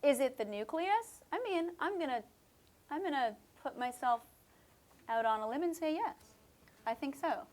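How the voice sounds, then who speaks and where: Woman, musician guest, fairly high voice, relaxed and chatty, thinks aloud. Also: normal speed, pauses often. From West Coast of Canada. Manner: thoughtful, chatty, frequent fillers and laughs. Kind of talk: relaxed conversational interview answers.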